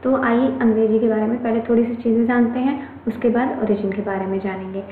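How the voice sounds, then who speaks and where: An Indian woman gives an online class in a higher voice, chatty and reassuring, going back over each point. Her voice comes through tinny and phone-like. It rings in an echoey room with faint noise behind it. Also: fairly even intonation, fast talker. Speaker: online English teacher. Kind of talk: Hindi-English mixed classroom lecture.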